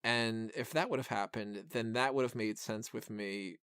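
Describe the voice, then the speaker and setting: A man's voice: fairly low pitch, moderate pace, fairly bright tone, clean tone, no room sound, clean studio sound. A man hosting a film review podcast, talking casually and giving opinions in a rambling, self-interrupting way full of "like".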